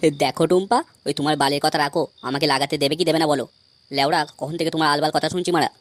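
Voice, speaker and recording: A man's voice: a little high, steady tone, rapid-fire, soft background music, lightly acted. A man voicing a lazy, henpecked young husband in an amateur comedy cartoon, grumbling crudely. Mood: irritated.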